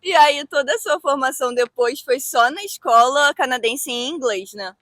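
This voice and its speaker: High-voiced Brazilian woman interviewer. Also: animated, normal speed, a bit bright, dry recording, studio quality. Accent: Brazilian Portuguese. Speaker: interviewer, host. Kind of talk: casual interview.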